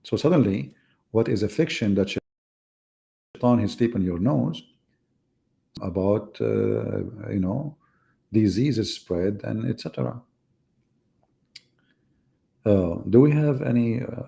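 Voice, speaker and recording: Low, gravelly, sarcastic man. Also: lively intonation, unhurried, pauses often, mellow. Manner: sarcastic, teasing, rhetorical questions. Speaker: host of live religious debate. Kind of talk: mocking casual live commentary.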